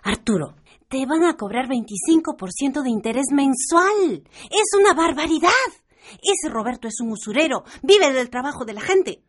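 An actress with a Peruvian accent playing a sensible, protective middle-aged aunt in a radio drama, earnest in manner. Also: female voice, high voice, expressive, moderate pace, a little hiss or hum. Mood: concerned, indignant. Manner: earnest, admonishing.

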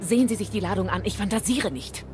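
A woman's voice: medium pitch, fast talker, background noise, natural voice. An actress playing a proud, determined Indian warrior queen in a dramatic audio play, composed, commanding and dry. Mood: commanding, wry. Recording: voice under loud music.

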